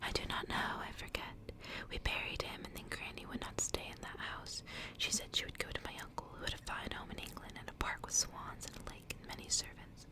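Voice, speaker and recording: A woman voicing a frail, frightened young girl condemned as a witch, in a faint, breathy, pleading whisper, for a storybook reading. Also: fairly quick, natural voice. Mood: weary, faint. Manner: murmuring, pleading.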